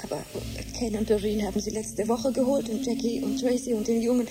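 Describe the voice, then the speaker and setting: A woman plays a grieving, devoted wife in a radio play. Her voice is fairly high, plaintive and tender, with music clearly heard behind it. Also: fairly even intonation, a little fast, lightly acted. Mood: distraught. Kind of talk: German radio drama acting.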